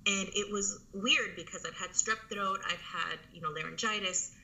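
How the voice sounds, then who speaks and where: Woman, 30s, interview guest, piercingly bright tone, chatty and rambling with fillers. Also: medium pitch, normal speed, vocal fry, slight background noise, low-quality recording. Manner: rambling, many fillers and restarts. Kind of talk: casual conversational storytelling.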